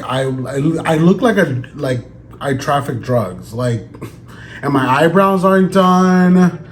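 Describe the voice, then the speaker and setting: A man vlogging in a casual, rambling, joking way, his voice a little raspy and tired as he gets over an illness. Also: mid-range voice. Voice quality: slightly raspy. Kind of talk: casual vlog chat. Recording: background music.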